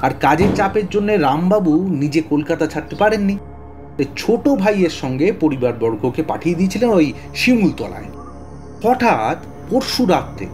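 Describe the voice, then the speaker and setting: An actor playing a talkative, excitable middle-aged police detective in an audio drama, blustery, often stammering and repeating words. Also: male voice, a little high. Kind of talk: Bengali audio story acting.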